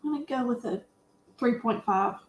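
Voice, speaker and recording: Echoey, higher-pitched woman discussing films. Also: moderate pace. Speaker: panelist on a movie review show. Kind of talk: casual movie discussion. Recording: echoey room with background noise.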